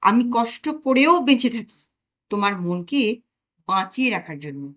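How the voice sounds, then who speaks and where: Woman voicing a grimy little reproachful conscience, drawn-out speech. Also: a little high, big pitch swings, fairly bright tone, exaggerated voice. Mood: aggrieved. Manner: accusing, self-pitying, argumentative. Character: stunted, grimy, misshapen personified conscience, reproachful and stubborn.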